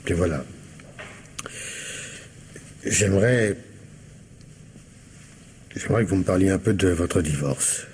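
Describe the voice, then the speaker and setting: Police commissioner: low voice, wry and insistent, radio mystery, slightly noisy old recording. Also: male voice, slow, pauses often, darkish tone. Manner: insistent, hesitant feints while questioning. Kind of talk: French radio mystery drama acting.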